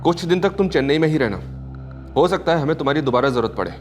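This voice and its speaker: An actor playing a dutiful police inspector who reports in brisk, businesslike tones. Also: male voice, fairly high pitch, animated, faint music behind, close to an everyday voice. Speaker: police officer.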